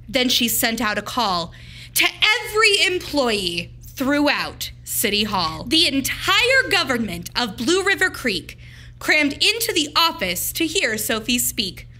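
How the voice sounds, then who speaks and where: A woman reads a picture book aloud in a high, bright voice. Her phrasing is slow and deliberate, shaped dramatically for young children, as a teacher does at storytime.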